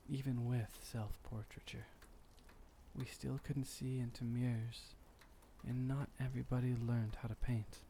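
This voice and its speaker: A man playing a gentle, refined, centuries-old vampire boyfriend in intimate ASMR roleplay, soft-spoken and soothing with formal wording. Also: medium pitch, little pitch movement, unhurried, a little hiss or hum, close to an everyday voice. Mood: calm, reminiscing.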